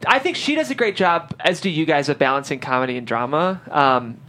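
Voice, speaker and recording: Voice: medium pitch, lively intonation, a little fast, fairly bright tone. A host running a casual panel interview in an encouraging, complimentary way.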